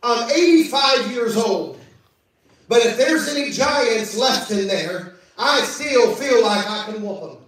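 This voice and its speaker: A man with a high voice delivers a sermon, reading scripture and calling out to the congregation. His voice rings through a very echoey church, caught from well off the mic. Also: lively intonation, slow, fairly bright tone, distant mic. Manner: preaching with call-outs and scripture reading. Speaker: preacher. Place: church service.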